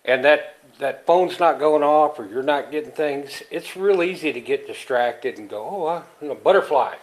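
A man's voice: a little high, normal speed, poor audio. A middle-aged man co-hosting a casual podcast over a video call, easygoing and folksy, rambling as he talks. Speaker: podcast co-host. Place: small room indoors. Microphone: headset on a video call.

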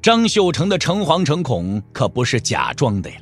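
A man's voice: a little high, huge pitch swings, normal speed, soft background music. A man narrating a novel as a storyteller in a dramatic, scripted audio drama style.

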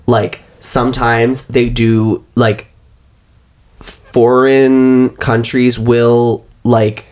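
A man chats on a podcast. His pitch is average, and he talks in a casual, halting, conversational style, close to the microphone. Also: level tone, a little mellow. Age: 20s. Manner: halting, peppered with "like". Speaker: podcast co-host. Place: small tiled bathroom, indoors. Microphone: podcast mic on boom arm, close.

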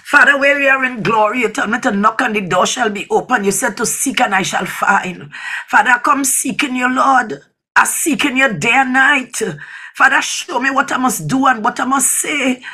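Husky, high-voiced woman praying fervently. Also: moderate pace, pushed and bright. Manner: pleading, repeatedly invoking God. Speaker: person leading prayer.

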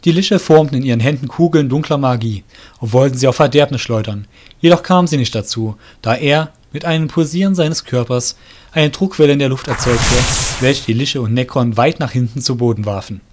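A man narrating a scripted fantasy audio drama in a measured storybook manner. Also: medium pitch, darkish tone.

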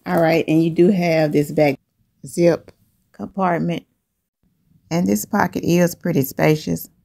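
A middle-aged woman coming down with a cold, showing the contents of her bag to viewers in a relaxed, unhurried chat from her living room. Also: fairly low pitch, vocal fry. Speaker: vlogger. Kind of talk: casual show-and-tell chat.

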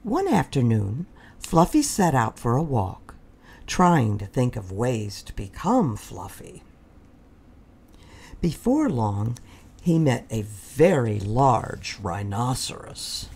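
Very slow, expressive woman reading a storybook. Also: extremely low pitch, husky. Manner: deliberate, dramatic pauses for children. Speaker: storybook reader. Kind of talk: expressive children's read-aloud narration.

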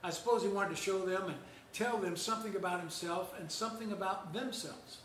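An older man, a pastor, gives a seated sermon in a measured, storytelling way with gentle humor. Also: high voice, a little hiss or hum. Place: church lounge with fireplace, indoors. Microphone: far camera. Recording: echoey room, voice heard from a distance.

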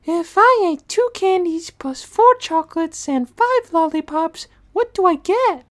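A woman's voice: very high-pitched, animated, unhurried, fairly bright tone, full character voice. A woman voicing a bold, bratty little girl in an amateur comic dub. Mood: eager.

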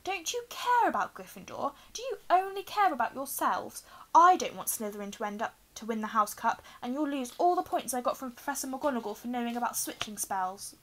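A woman voicing a bossy, indignant schoolgirl with a shrill, scolding tone in a storybook reading. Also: lively intonation, fast talker, few pauses. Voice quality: shrill, hissing when angry.

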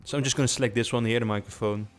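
A man with a low voice giving a casual software tutorial, close on a desktop mic with a pop filter, explaining quickly with filler words. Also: steady tone, faint music behind. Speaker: tutorial host. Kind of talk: screen-recorded software tutorial. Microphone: desktop USB condenser mic with foam and pop filter.

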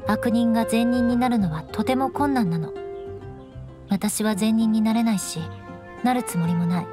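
An actress performs a proud young woman knight, an outcast noble in an anime game. Her voice is high yet composed, with haughty, dry delivery. Music plays clearly behind her. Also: moderate pace, lightly acted. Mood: cool, resigned. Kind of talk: Japanese anime game voice acting. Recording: studio voice over game music.

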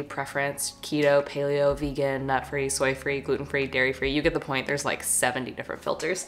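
Lifestyle vlogger, twenties, stuffy nose, slight rasp, lower pitch, chatty to camera at home. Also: female voice, slight background noise. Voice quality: slightly raspy. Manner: chatty and upbeat, trailing into soft asides. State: stuffy, congested. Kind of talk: casual chat to camera. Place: living room and kitchen at home. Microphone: camera at close range.

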